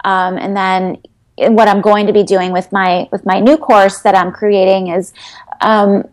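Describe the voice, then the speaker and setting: Woman guest, mid-pitched, earnest and hesitant, coaching talk. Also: flat delivery, unhurried. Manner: earnest, rambling, many ums and restarts. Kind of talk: casual interview answers.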